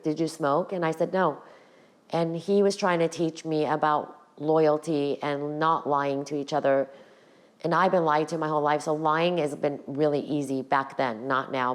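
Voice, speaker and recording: A middle-aged woman with a raspy voice, hosting her own podcast and talking frankly to her viewers about her past. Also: deep voice. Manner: candid, direct address to viewers. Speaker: podcast host. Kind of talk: personal storytelling to camera.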